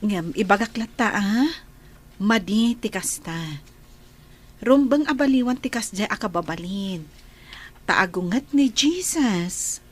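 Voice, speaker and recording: A woman's voice: mid-range voice, expressive, slow, long pauses. An actress with an Ilocano accent playing a caring, devout woman in a radio drama. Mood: gently admonishing. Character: caring, devout woman who gently admonishes a boy.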